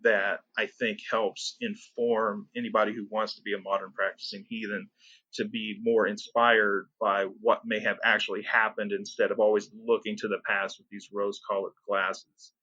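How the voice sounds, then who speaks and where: An upbeat, chatty American man hosting a podcast, quick to laugh. His voice sits a little low. It's a dry, close recording with no room sound. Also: little pitch movement, pushed and bright, no room sound, highs cut off. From United States. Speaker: podcast host. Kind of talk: casual podcast interview.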